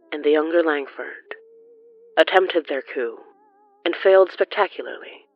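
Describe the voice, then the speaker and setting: A horror fiction narrator reads with measured, theatrical delivery. The recording is dry and a touch dull, with faint music behind the voice. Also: mid-range voice, no echo. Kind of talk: scripted dramatic gothic horror narration.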